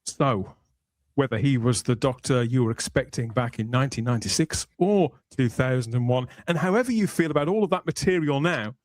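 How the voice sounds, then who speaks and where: Guy hosting a podcast, higher-ish voice, polished narration style, bit theatrical, no room echo. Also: animated, fairly quick. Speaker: podcast host.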